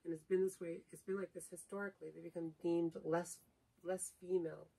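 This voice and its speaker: Husky, reflective woman, heard from afar. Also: fairly low pitch, little pitch movement, brisk, dark tone. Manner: reflective, analytical, searching for words. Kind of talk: casual conversation with partner. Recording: distant mic.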